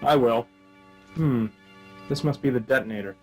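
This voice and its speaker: A man playing a dim, earnestly melodramatic action hero with a deadpan, obtuse manner in a comic radio play. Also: mid-range voice, moderate pace, faint music behind, lightly acted. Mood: breezy, matter-of-fact.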